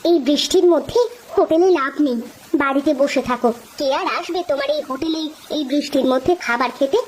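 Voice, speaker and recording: A woman voicing a sharp-tongued but caring village wife in a cartoon. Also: sits high, a little fast, a little hiss or hum, close to an everyday voice, low-quality recording. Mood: scolding. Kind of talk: cartoon voice acting.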